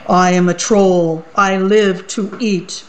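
A woman voicing a hungry, boastful male troll from a storybook, gloating in slow sing-song rhymes. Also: medium pitch, fairly even intonation, lightly acted. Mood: menacing.

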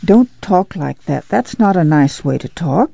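A woman's voice: low voice, animated, a little slow, gravelly, mellow, dry recording, muffled recording, exaggerated voice. A woman voicing a tired, firm farm mother in a plain, no-nonsense way. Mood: hushing.

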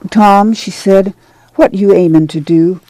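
A woman's voice: mid-range voice, a little slow, dark tone, no room sound, lightly acted. A woman playing an anxious, tender but firm older mother in a dramatic reading of a novel. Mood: intent, pressing. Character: anxious, tender, stern-willed rural mother, plain-spoken, older.

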